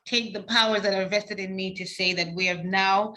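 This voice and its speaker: Bright-voiced Swahili-speaking woman hosting. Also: mid-range voice, steady tone, normal speed, rough recording. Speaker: webinar host. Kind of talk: closing remarks on a webinar. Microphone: video call.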